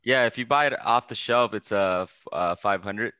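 Casting: young male podcast guest, smooth lowish voice, dry headset sound, casual. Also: moderate pace, bright, forceful tone, no room sound. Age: young adult. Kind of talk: casual chat. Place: room at home. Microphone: gamer headset.

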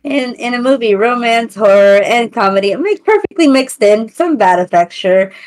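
A woman with a raspy voice chatting casually about films as a podcast panelist. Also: on the high side, lively intonation, normal speed, darkish tone.

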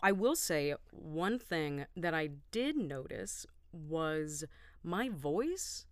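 A woman with a low voice co-hosting a podcast, chatting casually and joking about herself. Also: sing-song, very slow, dry recording, clean studio sound. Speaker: podcast co-host.

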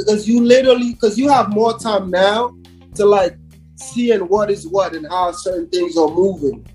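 A man's voice: high voice, normal speed, a little mellow, soft background music, poor audio. A man from Haiti talking casually as a hip hop artist guest on a podcast, in slangy, repetitive, emphatic phrases.

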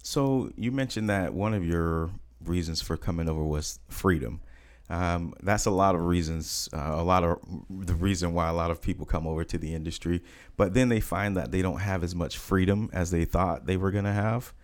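A woman's voice: very deep voice, moderate pace, a little mellow. A woman hosting a podcast interview, encouraging her guest with frequent short affirmations. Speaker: podcast host. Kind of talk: casual interview.

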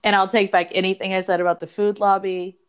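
A woman's voice: medium pitch, flat delivery, fast talker, clean tone. A woman co-hosting a podcast in casual chat, laughing often and speaking earnestly. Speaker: podcast co-host.

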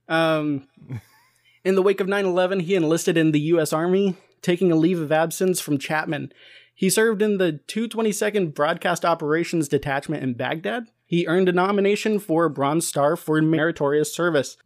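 Higher-voiced man reading a formal introduction. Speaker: podcast host and interviewer. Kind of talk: scripted introduction read aloud.

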